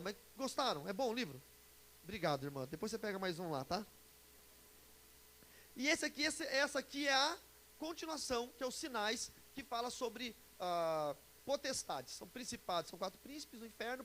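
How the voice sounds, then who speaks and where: A man's voice: very high voice, a bit bright, low-quality recording. A Brazilian man in his forties preaching and teaching informally to a congregation in a chatty, joking way, often putting questions to his listeners. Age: 40s. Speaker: pastor and conference speaker. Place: church conference before an audience. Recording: live talk with background hiss.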